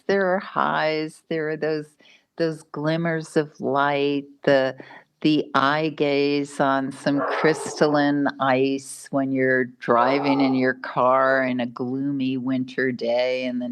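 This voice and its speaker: A middle-aged woman astrologer giving a casual weekly forecast, talking in an unhurried, rambling way with restarts and soft laughs. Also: sits low. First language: not Spanish (lives in Mexico).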